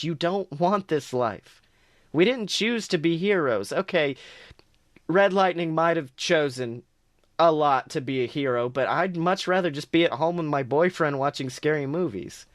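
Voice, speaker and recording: A world-weary heroine talks earnestly and steadily, as a man puts her on. His voice sits a little high, and the recording is dry and close. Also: normal speed, no echo, close to an everyday voice.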